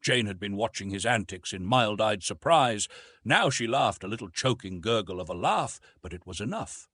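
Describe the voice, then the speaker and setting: A man narrating a classic adventure novel in an expressive, theatrical storytelling manner. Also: on the low side, moderate pace, husky, no room sound. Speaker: audiobook narrator.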